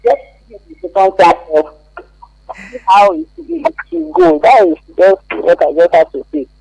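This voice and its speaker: A Nigerian-accented man with a distinctly high voice gives his opinion as a radio caller. His delivery is unscripted and rambling, with halting, repeated phrases. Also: sing-song, creaky, a little mellow, husky, low-quality recording.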